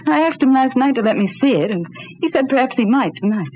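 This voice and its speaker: An actress playing a trusting, earnest young wife who soothes and insists, in an old-time radio drama. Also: female voice, sits high, expressive, quick pace. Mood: cheerful, reassuring. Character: trusting, reassuring young wife visiting her eccentric uncle. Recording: dull, noisy old radio recording.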